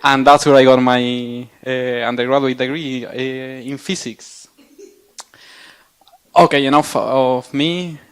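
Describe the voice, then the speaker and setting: A man's voice: medium pitch, fairly even intonation, takes it slowly, fairly bright tone, clean tone. A man in his twenties from southern Spain with a Spanish accent, giving a casual, self-deprecating public lecture in a lecture room. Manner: friendly, self-deprecating, joking with audience. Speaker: guest speaker.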